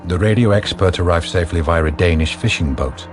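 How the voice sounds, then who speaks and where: A man's voice: deep voice, a little fast. A man narrating a scripted radio drama. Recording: prominent music behind narration.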